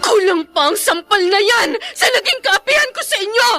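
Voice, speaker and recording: An actress playing an anxious, aggrieved young housemaid in an emotional Tagalog radio drama. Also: female voice, very high voice, lively intonation, normal speed, over music. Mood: furious, tearful. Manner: emotional, melodramatic.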